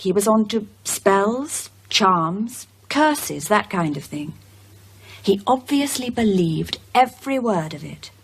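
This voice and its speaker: Composed widow, husky and lively-pitched. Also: female voice, heavily acted. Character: composed widow recounting a troubling past. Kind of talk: naturalistic radio drama acting.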